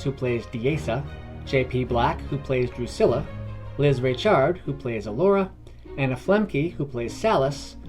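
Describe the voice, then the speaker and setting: A man's voice: mid-range voice, a little mellow. A man reading scripted narration for a fantasy adventure podcast.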